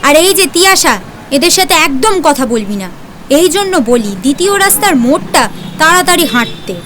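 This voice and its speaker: A woman's voice: high voice, big pitch swings, normal speed. A woman playing an impatient, snobbish schoolgirl with a brusque, dismissive manner in an audio drama. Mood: scornful. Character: impatient, snobbish schoolgirl friend scorning street children. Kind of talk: amateur audio drama acting. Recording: noisy outdoor street ambience.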